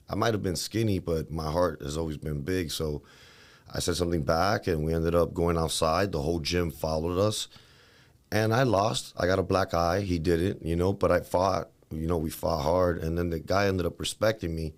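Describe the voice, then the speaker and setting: Podcast guest, man from Miami, very low bass voice, loose rambling chat. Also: fairly even intonation, normal speed. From Miami, Florida, USA. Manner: loose, rambling, many fillers and restarts. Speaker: guest, fitness trainer. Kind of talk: casual interview talk.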